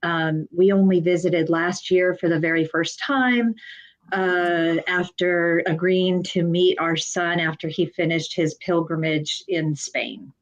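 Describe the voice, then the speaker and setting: A woman in her sixties from California, a guest student speaking thoughtfully with pauses on a video call. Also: on the low side, steady tone, muffled recording. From California, United States. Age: 60s. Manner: thoughtful, halting, many fillers.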